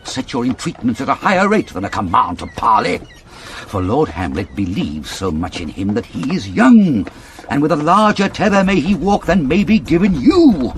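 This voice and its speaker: A man's voice: medium pitch, big pitch swings, normal speed, a little hiss or hum, lightly acted. An actor with a British accent playing a pompous, fussy older father and counsellor, lecturing in classical Shakespearean style. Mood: stern, warning. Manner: declamatory, sententious, lecturing.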